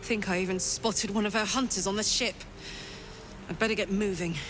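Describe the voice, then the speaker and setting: A woman's voice: mid-range voice, fairly even intonation, normal speed, music in the background, lightly acted. An actress playing a tough, upbeat warrior woman who talks to herself in a video game. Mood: determined, upbeat. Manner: brash, talking to herself. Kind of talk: video game character acting.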